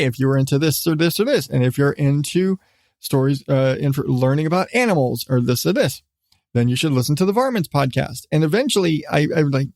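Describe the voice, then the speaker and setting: A middle-aged man hosting a casual solo podcast, talking in a rambling, halting way with repeated restarts. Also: on the high side, a little mellow, dry recording, clean studio sound. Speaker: podcast host.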